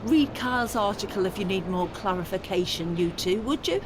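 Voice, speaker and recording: A woman's voice: medium pitch, lively intonation, normal speed, noisy background, natural voice. An actress playing an elderly widowed mother in an audio drama, wistful and courteous, with a dry, stubborn streak. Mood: firm, wounded. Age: older.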